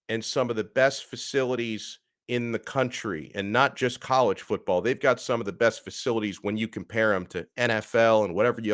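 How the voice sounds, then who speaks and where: A man hosting a college football podcast, talking casually as he runs through figures and drops quick asides. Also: mid-range voice, a bit bright, no echo, clean studio sound. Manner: conversational, punctuates lists with asides. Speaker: sports podcast host. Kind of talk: casual sports talk, reading through figures.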